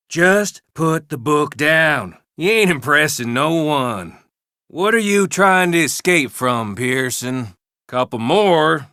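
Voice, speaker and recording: A sneering, bright-voiced outlaw bully. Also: male voice, medium pitch, smooth, no room sound, studio quality, exaggerated voice. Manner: mocking, insulting, drawn-out taunts. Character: mean, sneering male Old West gang outlaw bullying his companions. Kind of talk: video game character barks.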